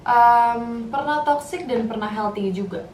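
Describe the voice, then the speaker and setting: A woman's voice: a little high, measured pace, a little mellow. An eighteen-year-old female student, giving her views in a casual discussion. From Indonesia. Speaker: university student. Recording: echoey room, distant mic, faint noise.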